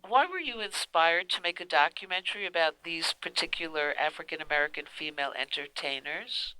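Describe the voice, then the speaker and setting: A woman's voice: low voice, brisk, sharp tone, poor audio. A woman radio interviewer putting prepared questions to a guest.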